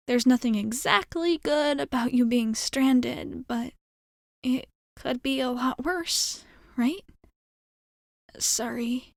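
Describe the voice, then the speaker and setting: A woman playing a friendly, optimistic alien pilot in a soft-spoken ASMR roleplay, reassuring the listener in a hesitant, apologetic way. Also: fairly high pitch, gravelly, dry recording, studio quality. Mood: hopeful, reassuring, then apologetic, caring.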